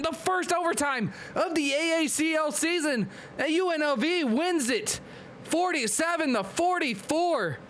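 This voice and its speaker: Male stream host, high voice, excitable play-by-play caller, hoarse and congested. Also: slight background noise. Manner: excitable, hyped play-by-play with quick asides to chat. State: losing his voice, nose clogged. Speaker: league commentator and stream host. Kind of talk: live-streamed play-by-play commentary.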